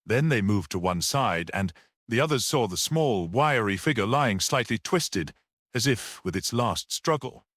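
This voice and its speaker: Deep-voiced man narrating a story dramatically. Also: lively intonation, a little slow, fairly bright tone, no echo, studio quality. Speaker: audiobook narrator.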